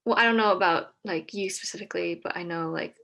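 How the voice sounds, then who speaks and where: A young woman from Texas whose first language is Vietnamese, speaking hesitantly with many pauses as a guest in a casual conversation. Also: fairly low pitch, creaky. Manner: hesitant, many ums, soft-spoken.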